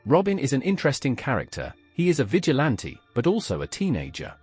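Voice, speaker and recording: A man narrates a scripted pop culture explainer as its host. His voice sits at a medium pitch and moves with animated intonation. Faint music plays behind him. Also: quick pace, husky.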